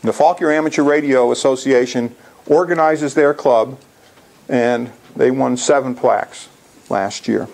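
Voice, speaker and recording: Guy in his 60s doing a casual club slide talk, kinda gravelly and creaky. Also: mid-range voice, unhurried, darkish tone, poor audio. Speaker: amateur radio club presenter. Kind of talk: informal slide presentation to a club. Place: indoor lecture room.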